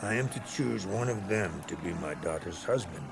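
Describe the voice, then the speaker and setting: A man's voice: low-pitched, animated, normal speed, vocal fry, noisy background. A man playing a genial but worried older Greek king and father in a game, speaking in a stately, courtly way. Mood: welcoming, troubled. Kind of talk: dramatic game cutscene acting.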